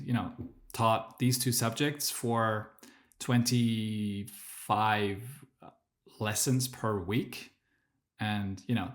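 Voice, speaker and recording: A man whose native language is German, telling his story as a podcast guest, with many fillers and restarts. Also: on the low side. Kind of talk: casual interview storytelling.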